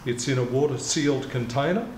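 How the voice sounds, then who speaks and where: A man in his sixties giving a measured, methodical product demonstration as a kayak retailer, speaking in an indoor shop. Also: mid-range voice, darkish tone. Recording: echoey room with clear background noise.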